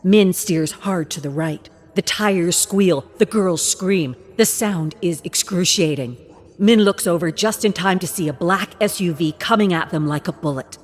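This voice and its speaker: Female narrator, low-pitched and unhurried, for intense dramatic story narration.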